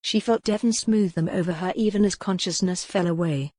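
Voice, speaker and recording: A woman's voice: fairly low pitch, brisk, dark tone, no echo, studio quality. A woman reading a historical romance novel as scripted audiobook narration.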